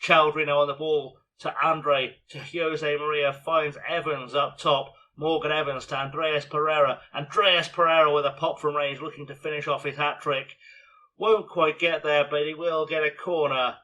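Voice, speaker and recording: A man with a scratchy, hoarse voice giving chatty, self-mocking play-by-play commentary on a football management game. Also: a little high, little pitch movement, moderate pace, bright, forceful tone. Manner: chatty, self-mocking, narrates match action. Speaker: video game streamer.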